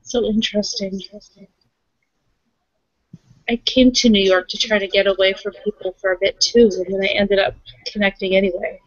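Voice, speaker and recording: A woman's voice: medium pitch, level tone, brisk, no echo, muffled recording. A woman improvising casually as a player in a role-playing game, tentative and thinking aloud. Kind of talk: casual improvised in-character chat.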